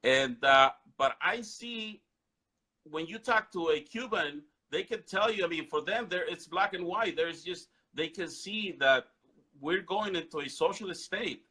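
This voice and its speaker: A man's voice: sits high, lively intonation, measured pace, bright, forceful tone, rough recording. A man from Mexico, hosting a casual talk show, chatty and prompting his guest with questions. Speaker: host.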